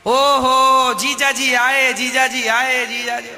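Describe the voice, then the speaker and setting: A man's voice: very high voice, flat delivery, slow pace, sharp tone, faint music behind, exaggerated voice. A man voicing fussing, hospitable village in-laws with exclamatory, repeated calls, in an echoing hall. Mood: eager welcome. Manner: exclamatory, repetitive. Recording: echoey hall, long reverb.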